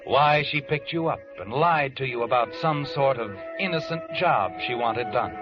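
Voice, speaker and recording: A man as an ominous, all-knowing storyteller from an old radio mystery, in a medium-pitched voice. He narrates with taunting drama over clear music. The recording is dull and aged. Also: normal speed, natural voice. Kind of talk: 1940s radio mystery narration in second person.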